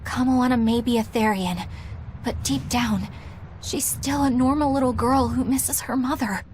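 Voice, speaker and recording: A woman's voice: on the high side, measured pace, darkish tone, a little hiss or hum, lightly acted. A woman playing an earnest, compassionate and polite young woman in a dramatic video game. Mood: pleading, concerned. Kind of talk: English-dubbed video game acting.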